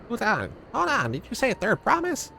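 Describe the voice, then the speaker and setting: A man's voice: very high voice, huge pitch swings, moderate pace, creaky, pushed and bright, voice pushed up, full character voice. A man voicing a small, shrewd saddle maker who haggles insistently, reading fantasy game dialogue aloud. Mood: shrewd, unyielding. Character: small shrewd Pelupelu saddle maker, hard-bargaining merchant. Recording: music under the voice.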